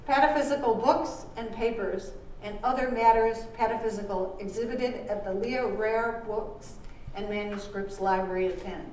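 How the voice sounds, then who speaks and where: A woman giving a live reading of scripted narration in a deliberate, measured way, with pauses. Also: mid-range voice, a little mellow. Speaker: author reading aloud at a book launch. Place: indoor launch performance, live event. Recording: echoey room, distant mic, faint noise.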